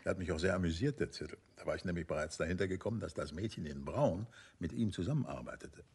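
An actor playing a suave, amused gentleman detective and novelist in a German radio play, teasing his wife with self-satisfied banter. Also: low voice, quick pace, husky. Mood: explaining, amused.

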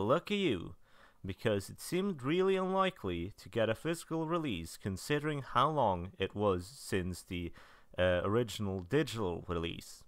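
Low, smooth man with lively intonation. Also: no echo, studio quality. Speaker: video narrator reviewing visual novel releases. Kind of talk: semi-scripted commentary.

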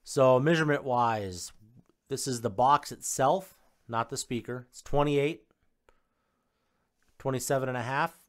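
A man with a mid-pitched voice presents a product unboxing in a casual way. He speaks off the cuff, rattling off specs in short, informal phrases. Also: measured pace, pauses often. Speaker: home theater installer presenting a product unboxing. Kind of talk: casual unboxing and spec review.

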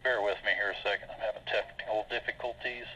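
An American man giving an informal, matter-of-fact weather briefing as a forecaster, with halting delivery, over a conference call. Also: lowish voice, fairly even intonation, pushed and bright, husky. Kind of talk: semi-scripted weather briefing over slides. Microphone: conference call connection. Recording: dull, lo-fi conference call audio.

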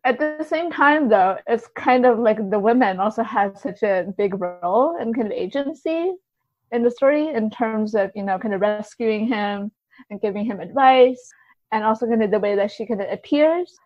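A woman with a fairly high voice talks through ideas as a podcast guest. Her manner is informal and hedging, peppered with "kind of" and "like". The sound is very dry and slightly muffled. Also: animated, moderate pace, no room sound, faint music behind. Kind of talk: casual literary discussion.

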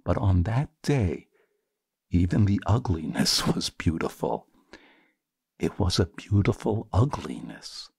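Low-voiced man reading poetry with lively swings. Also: vocal fry, breathy edge, no room sound, studio quality. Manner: expressive, deliberate, theatrical phrasing. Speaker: poetry performer.

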